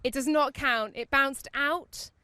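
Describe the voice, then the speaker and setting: A woman's voice: sits high, slow, pushed and bright, no echo. A woman presenting a lighthearted golf challenge, playful and apologetic as she rules on a shot.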